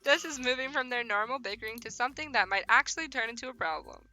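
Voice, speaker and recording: Animated high-voiced woman streamer, bright. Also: fast talker. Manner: animated, foul-mouthed, slangy. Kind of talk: casual visual novel let's play, reading aloud with commentary. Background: faint music.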